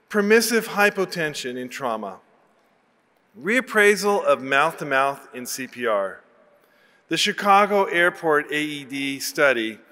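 A man in his sixties giving a formal, measured scripted introduction of a lecturer at a podium microphone. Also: on the high side, pushed and bright. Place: large auditorium stage. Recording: long hall reverb from PA.

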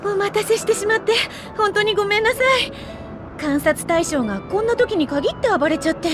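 A bubbly young female researcher in an anime-style video game, with an extremely high voice. She is chatty, earnest and eager to please, speaking politely and expressively. Loud music runs underneath. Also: very animated, brisk. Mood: apologetic, eager. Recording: studio voice over loud music.

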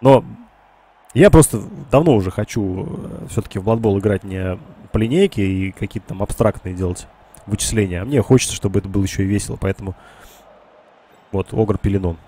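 A man's voice: sits low, mellow, breathy edge. A man, congested and nasal from a cold, casually commentating a strategy game live for his chat and thinking aloud. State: sick with a cold. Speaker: game streamer.

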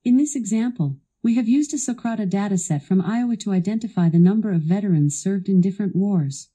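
A woman's voice assistant delivering scripted product demo narration in a polished, instructional, clearly enunciated way. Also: medium pitch, brisk, mellow.

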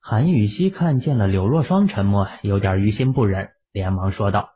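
A man narrating an audiobook drama in a lively storytelling manner. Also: lowish voice, moderate pace, slightly rough. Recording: muffled phone-like audio.

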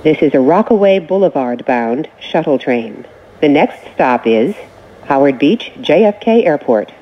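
A woman reading scripted transit announcements as a train announcer, in short, measured, formal phrases. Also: low-pitched, lively intonation. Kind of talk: scripted automated station announcements. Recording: muffled recording with train noise.

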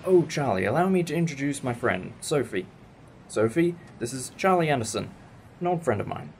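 A man's voice: mid-range voice, expressive, moderate pace, a little hiss or hum, close to an everyday voice. A British man playing an eccentric, enthusiastic alien time traveller in a fan audio drama, musing like a professor. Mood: friendly. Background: music.

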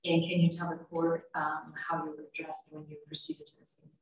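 An older woman with a notably low voice examines a witness in short, orderly questions. She sounds distant from the microphone in an echoey room. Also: completely flat delivery, moderate pace. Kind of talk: formal direct examination. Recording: echoey, distant video call audio.

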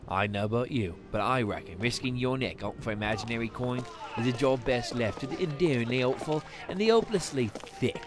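A man's voice: mid-range voice, lively intonation, fairly quick, hardly any pauses, close to an everyday voice. A man playing a cynical, dismissive dockside rogue with dry, colloquial scorn in a game fan dub. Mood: scornful.

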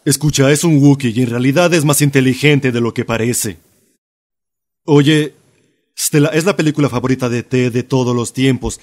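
A man's voice: mid-range voice, moderate pace, darkish tone, close to an everyday voice. A man with a Latin American accent playing an earnest, meddling, dramatic best friend in a comedy fan dub. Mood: solemn. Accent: Latin American Spanish.

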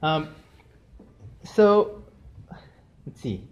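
A man's voice: fairly high pitch, big pitch swings, extremely slow, a little mellow, muffled recording. A young man from Japan, whose first language is Japanese, giving a casual, chatty talk about his art to students in a lecture room, full of friendly fillers. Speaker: artist giving a gallery lecture. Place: university lecture room with projector screen.

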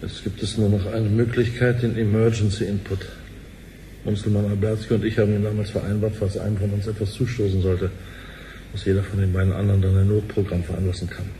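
A man's voice: fairly low pitch, level tone, fast, dark tone, a little hiss or hum, natural voice, poor audio. A man playing a grave, determined executive in a radio drama. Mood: grave, resolved. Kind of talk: dramatic radio play acting.

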